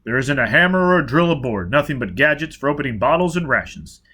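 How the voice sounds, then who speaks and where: A man voicing a rough, angry spaceman who speaks loudly and impatiently. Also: mid-range voice, lively intonation, quick pace, voice pushed up. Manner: loud, impatient, forceful.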